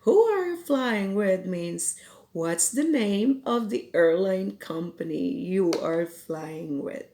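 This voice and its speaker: Slow-speaking woman teacher with a gravelly voice. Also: medium pitch, lively intonation, dark tone, a little hiss or hum. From Philippines. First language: Cebuano. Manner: slow, careful explaining with quoted example phrases. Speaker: English teacher. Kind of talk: YouTube language lesson. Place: home in Ireland.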